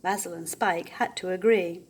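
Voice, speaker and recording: An older woman reading a children's picture book aloud with deliberate, theatrical expression. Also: on the low side, fairly even intonation, slightly rough.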